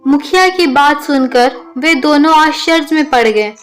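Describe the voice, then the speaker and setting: A woman's voice: high-pitched, measured pace, soft background music. A woman narrating a scripted children's story as a storyteller.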